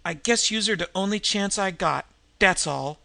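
A reader voicing a delirious female street tough who croaks out harsh, slangy threats. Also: mid-range voice, normal speed, pushed and bright, voice pushed up. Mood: mocking. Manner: snarling, threatening.

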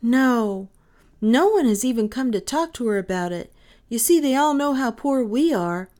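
A woman's voice: fairly high pitch, animated, moderate pace, a little mellow, pitched above the performer's own voice, natural voice. A woman voicing a careful, serious young boy, a protective older brother in a storybook. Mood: earnest. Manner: earnest, serious.

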